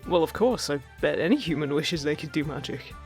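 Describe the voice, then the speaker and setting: A man's voice: fairly high pitch, lively intonation, a little fast, faint music behind. A man playing a friendly, teasing male fairy in an audio roleplay, chatting casually and reassuringly to the listener. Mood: cheerful, inviting.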